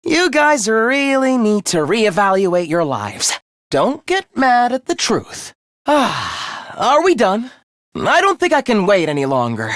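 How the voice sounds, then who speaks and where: A man's voice: huge pitch swings, takes it slowly, a bit bright, no echo, studio quality, full character voice. A man voicing a sadistic young male video game villain, mock-polite and theatrical in his contempt. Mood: smug contempt. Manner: mock-polite, theatrical, swinging into shrill ranting. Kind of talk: anime-style video game voice acting.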